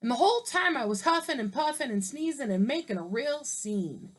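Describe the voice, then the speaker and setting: A woman's voice: high voice, expressive, moderate pace. A woman reading aloud as a male wolf telling his side, mock-innocent and indignant. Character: wolf, male, grumbling sly self-pitying narrator.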